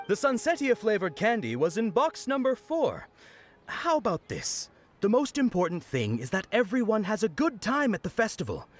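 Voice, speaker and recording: An actor voicing a jolly festival knight in a video game, given to theatrical proclamations and friendly patter for children. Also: male voice, high voice, wildly swooping pitch, normal speed, faint music behind, full character voice. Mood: playful.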